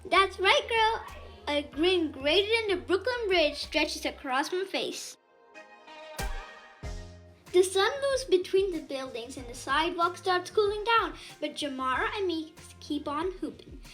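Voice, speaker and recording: A woman reading a children's picture book aloud in an animated, expressive storytelling way. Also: very high-pitched, measured pace, faint music behind. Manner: animated, dramatizes dialogue.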